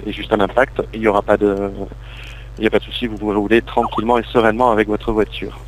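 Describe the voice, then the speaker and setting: A man's voice: on the low side, level tone, fast. A man speaking as a polite customer service agent on a phone call, explaining things with some hesitation. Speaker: windscreen repair call centre agent. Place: phone call recorded over Skype. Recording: faint hum on internet call.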